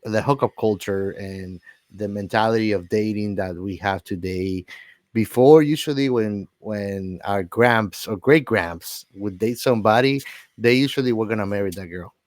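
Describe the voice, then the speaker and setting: A man's voice: fairly low pitch, measured pace, no echo. A man co-hosting a podcast, chatting casually and bluntly about movies in slangy, informal language. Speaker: podcast co-host.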